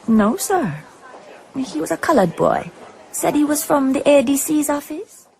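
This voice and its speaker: An actress playing a polite, deferential hotel receptionist in a radio drama, speaking formally. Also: female voice, high-pitched, big pitch swings, unhurried, creaky, a little mellow, slight background noise, full character voice. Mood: courteous.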